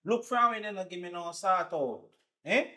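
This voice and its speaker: Man as boastful cheating husband, Jamaican accent, high-ish, dismissive. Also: lively intonation, normal speed, bright, forceful tone. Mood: defensive. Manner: defensive, boastful. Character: brash, unfaithful husband, defensive.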